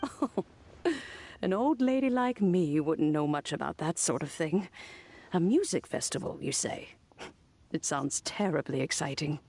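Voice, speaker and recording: A wise old lady, speaks low with expressive rises and falls. She is genteel, kindly and gently teasing, as a game character, with faint ambience behind her. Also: unhurried, a little hiss or hum. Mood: curious, amused. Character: wise, kindly, good-humoured old lady, a village elder. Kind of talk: video game voice acting.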